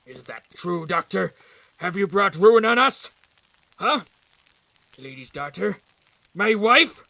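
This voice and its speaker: A man's voice: high voice, sing-song, takes it slowly, creaky, sharp tone, heavily acted. A man acting a distraught, bitter village husband in an audio drama, heightened and ready to threaten. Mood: accusing.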